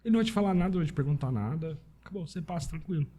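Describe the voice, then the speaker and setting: Mellow, husky Brazilian man chatting. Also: fairly high pitch, lively intonation, very fast. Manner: informal, anecdotal, peppered with tag questions. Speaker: podcast guest, Italian citizenship specialist. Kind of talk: casual conversational interview.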